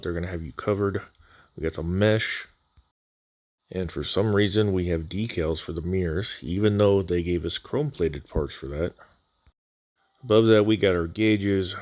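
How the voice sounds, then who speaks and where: Low-voiced male hobbyist, laid-back and wry, voice slightly worn, dry close-mic voice-over. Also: darkish tone, dry recording. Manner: casual, dry humor. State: recovering from near voice loss. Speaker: scale model builder. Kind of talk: voice-over unboxing walkthrough.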